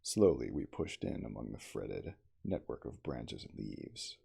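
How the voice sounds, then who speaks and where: A man reading an adventure story aloud in a deliberate, dramatic ASMR style. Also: very deep voice, sing-song, creaky, mellow, breathy edge. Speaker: audiobook reader.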